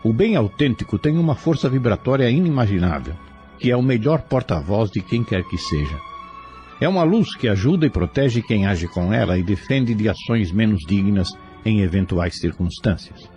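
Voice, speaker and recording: A man's voice: lowish voice, animated, normal speed, warm tone, highs cut off, soft background music. A man giving a formal, solemn, scripted moral commentary in a sermon-like style.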